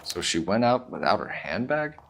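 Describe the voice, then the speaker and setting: An actor playing a polite, earnest young 1940s police detective, American, formal and measured in a video game drama. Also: male voice, lowish voice, big pitch swings, creaky, a bit bright, a little hiss or hum, heavily acted. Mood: musing.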